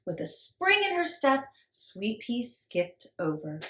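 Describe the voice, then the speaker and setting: A woman reading a children's story aloud in an expressive, theatrical and deliberate way. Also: a little high. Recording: roomy echo with background noise.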